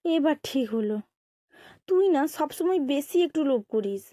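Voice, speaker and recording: A sensible cartoon planet Earth, voiced by a woman, knowing and quick to scold. The voice is high-pitched and recorded close and dry. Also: animated, warm tone, no room sound. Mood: chiding. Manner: knowing, admonishing. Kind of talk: children's cartoon dialogue.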